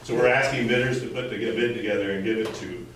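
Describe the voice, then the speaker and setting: Echoey, distant, low-voiced skeptical American man. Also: steady tone, fairly bright tone. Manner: halting, repeats words, pressing and skeptical. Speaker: resident questioning a school board. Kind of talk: impromptu public comment at a community meeting. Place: indoor community meeting room, recorded from afar. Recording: echoey room, distant mic, faint noise.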